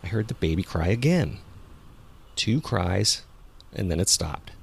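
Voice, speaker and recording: A man playing a jogger who recounts a frightening experience in the woods, as scripted first-person horror narration told in a measured, suspenseful way. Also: sits low, expressive, gravelly, slight background noise, full character voice. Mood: measured, uneasy. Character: local male jogger recounting a terrifying discovery in the woods. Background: ambient sound effects.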